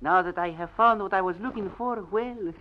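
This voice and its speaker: A man's voice: high-pitched, moderate pace, lightly acted. An actor playing a small, heavyset Italian man in an old radio drama, insinuating and oddly courteous, with an Italian accent. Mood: sly. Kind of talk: old-time radio acting. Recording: dull vintage radio, faint hiss.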